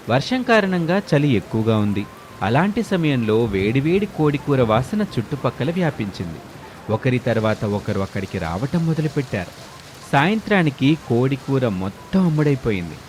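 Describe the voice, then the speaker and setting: A man narrating a scripted moral story for an animated video. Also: medium pitch.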